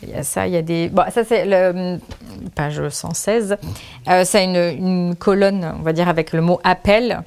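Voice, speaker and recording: Lady author, kinda low voice, lots of euh and restarts as she thinks. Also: measured pace, a little mellow, smooth. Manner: thinks aloud with many restarts, fillers and trailing phrases. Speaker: author, guest. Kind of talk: casual spoken interview answers.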